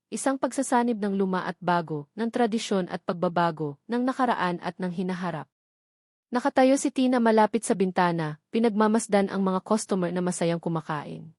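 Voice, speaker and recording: A woman narrates scripted romance fiction with dramatic storytelling delivery. Her voice sits at a medium pitch, and the recording is close and very dry. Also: darkish tone, no echo.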